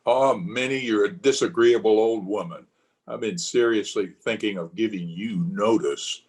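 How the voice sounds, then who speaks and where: A man's voice: on the low side, normal speed, darkish tone, natural voice. A man playing an exasperated but fond husband in old-time radio drama, with a testy, theatrical delivery. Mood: irritable. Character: exasperated, fond husband of nine years, sparring with the maid. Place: at home, recorded over video call.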